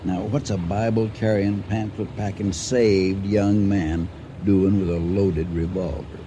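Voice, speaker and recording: An actor playing a wry, skeptical older farmer husband with an American accent, dry and understated in old-time radio drama style. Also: male voice, deep voice, little pitch movement, unhurried. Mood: grave, measured. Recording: noisy old radio broadcast recording.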